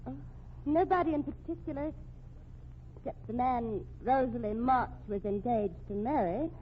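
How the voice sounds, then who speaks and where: An actress playing a haughty, sharp-tongued wife under suspicion in a radio mystery, grand, theatrical and indignant. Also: female voice, fairly high pitch, moderate pace, close to an everyday voice. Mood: cool. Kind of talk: old-time radio mystery acting. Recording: tinny, noisy old radio recording.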